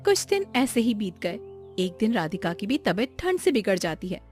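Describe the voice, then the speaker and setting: A woman's voice: medium pitch, very animated, moderate pace, darkish tone, faint music behind. A woman narrating a scripted story.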